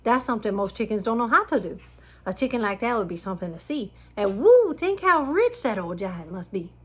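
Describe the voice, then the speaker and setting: A woman's voice: fairly high pitch, very animated, fairly quick, husky. A woman voicing an eager, cheeky young boy in a rhyming storybook. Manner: bright and eager.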